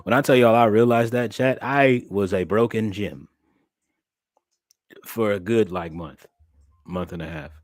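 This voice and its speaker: A young man speaks in a low voice, animated and preacherly as he talks straight to his livestream chat. The sound is close and dry with no room around it. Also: measured pace, long pauses, no room sound. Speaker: streamer and podcast host.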